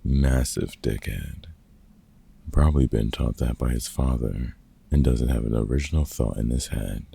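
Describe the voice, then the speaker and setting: A man playing a gruff but kind-hearted veteran vampire hunter in a comfort audio roleplay, talking casually and swearing freely in a gravelly, husky voice. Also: very deep voice, warm tone, heavily acted. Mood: grumbling, cynical. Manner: gruff, sweary, casual mentor talk with pauses.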